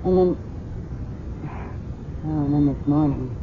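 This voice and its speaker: A woman's voice: low voice, takes it slowly. An American actress playing a shaken, candid roommate of a murdered woman in a 1970s radio drama, confiding hesitantly. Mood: troubled, recalling. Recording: muffled, noisy 1970s radio broadcast recording.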